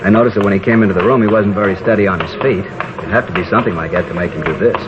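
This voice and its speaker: A man's voice: on the low side, very fast, close to an everyday voice. A man acting an earnest, troubled schoolteacher in a 1950s radio drama, explaining things with concern and some hesitation. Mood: concerned, hesitant. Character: troubled, earnest male high school teacher. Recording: muffled old radio recording with clear noise.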